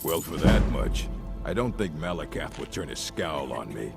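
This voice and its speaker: Very deep gravelly orc warrior. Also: male voice, sing-song, moderate pace, full character voice. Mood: proud, reluctant. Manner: blunt, solemn, terse. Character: gruff proud adult male orc warrior. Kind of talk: fantasy video game dialogue. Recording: game music behind the voice.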